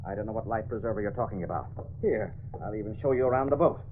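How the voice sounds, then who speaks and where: An actor playing a slippery, crooked official in an old radio drama, protesting with blustering indignation. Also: male voice, fairly low pitch, fast, close to an everyday voice. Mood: evasive, protesting. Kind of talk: 1930s-1940s radio acting. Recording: tinny, hissy old radio recording.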